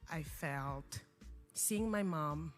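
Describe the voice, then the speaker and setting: A woman's voice: low voice, very slow, faint music behind. A young woman from Cameroon whose first language is French, speaking earnestly in an interview as an organization founder, with frequent restarts. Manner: earnest, reflective, many restarts. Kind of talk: interview conversation.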